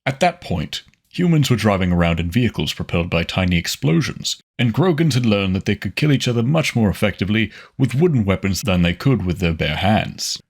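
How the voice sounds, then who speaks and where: A man with a husky voice reading scripted narration for an audio drama. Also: on the low side, animated, fairly quick.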